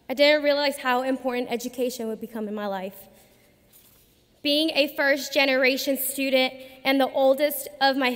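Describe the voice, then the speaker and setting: A young woman in her twenties gives a formal graduation speech at a lectern, earnest and measured, with emphatic pauses. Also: sits high, a bit bright. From United States. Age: 20s. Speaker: student commencement speaker. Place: large arena at a lectern. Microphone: podium microphone. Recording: long arena reverb.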